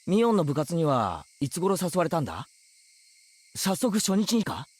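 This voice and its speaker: A boyish teenage anime hero with a fairly high voice and lively swings in pitch. He talks informally, as a schoolboy in a visual novel. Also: fast talker. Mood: curious. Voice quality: youthful, boyish. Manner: informal, rough boyish speech. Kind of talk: Japanese visual novel voice acting.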